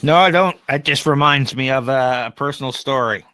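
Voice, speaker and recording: A man's voice: medium pitch, moderate pace, pushed and bright, clean tone, dry recording. A man co-hosting a podcast, giving opinions in casual conversation and explaining them with everyday examples. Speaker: podcast co-host and AI consultant.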